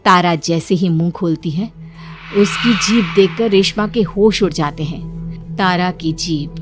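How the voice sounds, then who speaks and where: Female storyteller, lower register, scripted tale narration with a music bed. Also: measured pace.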